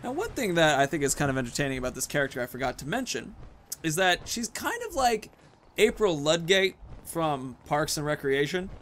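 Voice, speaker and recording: A young man gives casual, chatty gameplay commentary. His voice is fairly high and swings widely in pitch, with faint game noise behind it. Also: big pitch swings, brisk, a bit bright. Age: young adult. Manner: chatty, jokey, rambling. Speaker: gaming video host. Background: game ambience, faint noise.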